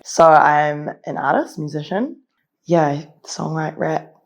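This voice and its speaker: Musician, New Zealand accent, middle pitch, easygoing, self-deprecating. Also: slow, gravelly. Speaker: interview guest, musician.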